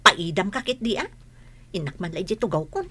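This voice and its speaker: A woman's voice: fairly low pitch, very animated, fairly quick, pushed and bright, heavily acted. A woman playing a pushy, unprepared teenage student in an audio drama, given to wheedling. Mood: pushy, pleading. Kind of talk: amateur audio drama acting.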